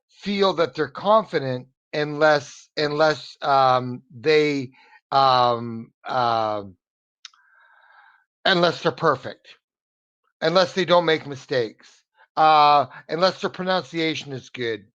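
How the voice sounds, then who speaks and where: A man's voice: on the high side, takes it slowly, a bit bright. An American man tutoring English in an online lesson, talking earnestly and encouragingly, often repeating words as he searches for the next one. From United States. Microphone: video call. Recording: dull, muffled video call audio.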